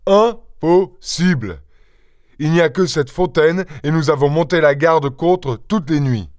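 Storybook farmer, played by a male reader: anxious, blustering countryman, insistent, pitched above a normal talking voice, dry close sound. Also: normal speed, dry recording, lightly acted. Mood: indignant. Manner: exclamatory, insistent, stammering when alarmed.

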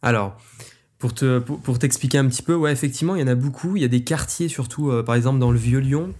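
A man's voice: mid-range voice, fast, darkish tone. A man in his twenties from Auvergne, co-hosting a podcast in a loose, joking chat full of fillers. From Auvergne, France. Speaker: podcast co-host. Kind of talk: casual chat.

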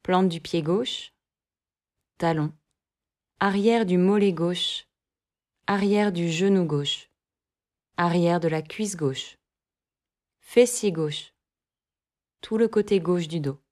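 A woman guiding a yoga nidra relaxation, giving calm, measured instructions in short phrases with long pauses between them. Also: on the low side. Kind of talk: guided relaxation meditation, scripted instructions.

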